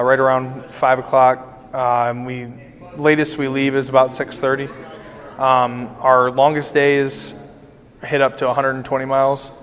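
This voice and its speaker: Even-toned young man in a hall. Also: mid-range voice. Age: young adult. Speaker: charity cycling team member. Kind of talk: unscripted interview. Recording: reverberant hall.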